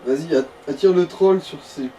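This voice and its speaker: A man's voice: fairly high pitch, moderate pace, a crowd in the background. A man chatting casually as co-commentator of a video game, giving dry, corrective advice to the player. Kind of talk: casual gaming chat. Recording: distant mic with faint noise.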